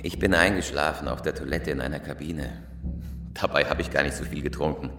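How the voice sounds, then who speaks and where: Cool career criminal, radio play: low, terse voice, set back in a roomy space. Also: male voice, fairly even intonation, fairly quick. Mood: feigned confusion. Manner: terse, commanding, unruffled. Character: cool, terse, hardened male professional robber. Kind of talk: radio drama acting. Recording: roomy, distant from the mic.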